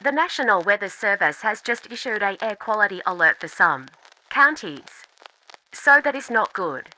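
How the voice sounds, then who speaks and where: A woman's voice: medium pitch, big pitch swings, moderate pace, sharp tone, poor audio. A woman giving a scripted live report as a field news reporter.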